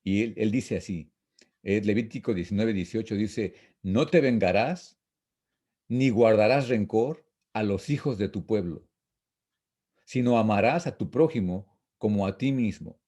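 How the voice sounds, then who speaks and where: A man teaching an informal Bible lesson, reading and explaining verses in a repetitive, halting, didactic way. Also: fairly low pitch, mellow. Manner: didactic, repetitive, halting with frequent false starts.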